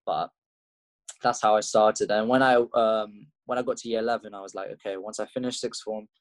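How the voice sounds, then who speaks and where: A man's voice: on the low side, fairly even intonation, a little fast, no echo. Laid-back young man with an English accent. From Russia. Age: young adult. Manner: rambling, laid-back, many fillers and restarts. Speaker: MMA fighter guest. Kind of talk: casual interview chat.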